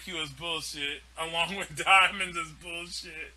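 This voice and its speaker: A man's voice: on the high side, steady tone, unhurried, sharp tone. A man hosting a live stream, delivering a heated, profane and repetitive ranting monologue.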